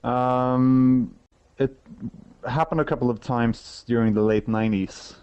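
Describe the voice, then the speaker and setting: A man's voice: mid-range voice, fairly even intonation, darkish tone, clean tone. A man with a Swedish accent, giving a hesitant, conversational phone interview as an event organizer. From Sweden. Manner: hesitant, halting. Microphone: telephone. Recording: muffled telephone line.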